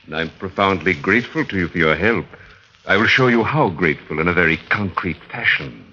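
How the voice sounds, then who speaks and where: A menacing mad scientist speaks in a deep, crisp-edged voice with formal condescension. The 1940s radio horror recording is muffled and faintly hissy. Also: male voice, expressive, a little fast, pushed and bright, exaggerated voice. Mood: smug. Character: sinister male mad scientist, coldly polite, commanding and menacing.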